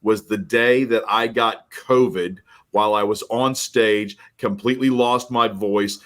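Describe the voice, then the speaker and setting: Energetic male podcast co-host. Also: fairly low pitch, unhurried. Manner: energetic, storytelling, emphatic. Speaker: podcast co-host, mortgage professional. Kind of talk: casual chat.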